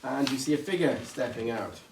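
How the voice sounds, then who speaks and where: A man from south Wales running a tabletop game as game master, narrating in a lively, descriptive way. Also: medium pitch, brisk, gravelly. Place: game store. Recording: roomy, distant mic, faint noise.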